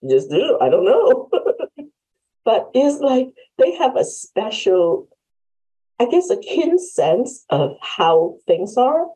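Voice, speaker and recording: A woman's voice: on the high side, very animated, takes it slowly, dark tone, husky, low-quality recording. A woman in her forties from Hong Kong hosting an interview, friendly and reflective, laughing often as she talks. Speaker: host. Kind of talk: casual interview chat. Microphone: video call.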